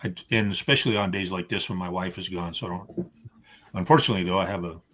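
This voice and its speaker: A man's voice: low-pitched, fast talker, fairly bright tone. A man chatting casually as a panel guest, wry and a little rambling. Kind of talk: casual chat.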